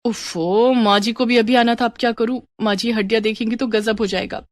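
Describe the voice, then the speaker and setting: A woman voicing a young, secretive daughter-in-law in a moral story, expressive and quick with excuses. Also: fairly high pitch, no echo, studio quality, lightly acted. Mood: scheming, fretful.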